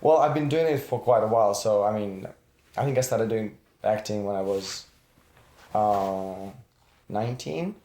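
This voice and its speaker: A young man in his twenties with a lower-pitched voice speaks thoughtfully, pausing often with ums. His voice carries a roomy echo. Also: mellow. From Russia. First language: Russian. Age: 20s. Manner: reflective, hesitant, many ums. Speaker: actor guest. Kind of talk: casual group chat.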